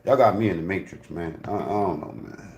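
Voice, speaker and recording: A man's voice: low-pitched, fairly quick, a little mellow. A man giving casual, joking reaction commentary on a video, loose and profane, often repeating himself. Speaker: reaction streamer. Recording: roomy echo, faint noise.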